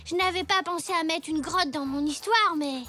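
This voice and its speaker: A performer voicing an eager young zebra boy in a children's cartoon, with a bright childlike voice. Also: very high voice, moderate pace.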